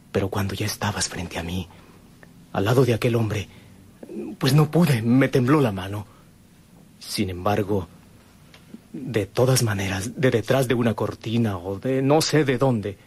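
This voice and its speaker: A man's voice: lowish voice, slightly rough. A man playing an earnest, remorseful young lover, grave and emotional, in a radio melodrama. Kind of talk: melodramatic radio drama acting.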